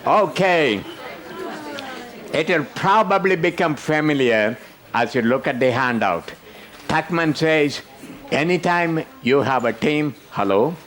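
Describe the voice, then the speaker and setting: Slow, joking older man presenting a workshop. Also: a little high, fairly bright tone, some chatter behind. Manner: joking, teasing the audience. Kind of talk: interactive conference workshop talk.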